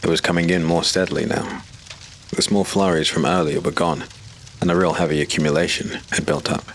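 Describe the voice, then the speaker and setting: A man narrating a dark fantasy audiobook in a steady, measured way. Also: deep voice, slight background noise.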